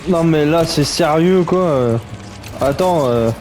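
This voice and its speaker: A man's voice: a little high, slow pace, darkish tone, a little hiss or hum. A man commenting on a game as he plays, in a chatty, joking, reactive way. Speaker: gaming streamer. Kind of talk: let's play commentary.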